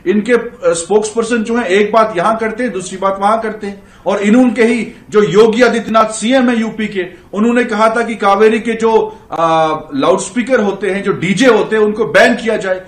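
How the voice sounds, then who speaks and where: Insistent high-voiced Indian man debating. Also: moderate pace, hardly any pauses, reverberant. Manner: argumentative, insistent. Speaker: political party spokesman. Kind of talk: heated TV panel debate.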